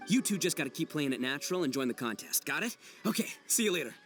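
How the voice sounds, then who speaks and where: A brash young gang boss from a video game, overconfident and none too bright. His slangy, boisterous delivery is highly animated and sits slightly high for a man, over clear background music. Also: fast. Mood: cocky, scheming. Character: brash, overconfident, dim-witted but good-natured young male gang boss. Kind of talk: English video game dub acting.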